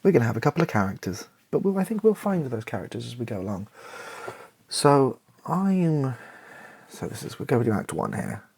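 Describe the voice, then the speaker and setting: A British man doing casual, improvised ASMR, thinking aloud in hesitant fragments with a soft, breathy, partly whispered voice. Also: mid-range voice, sing-song, normal speed. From Britain. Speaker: ASMR video creator thinking aloud while writing.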